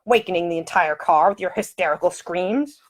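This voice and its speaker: Woman putting on a snarling, pompous older gentleman. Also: fairly low pitch, brisk, natural voice. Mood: irritated. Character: irritable, pompous older gentleman, easily offended.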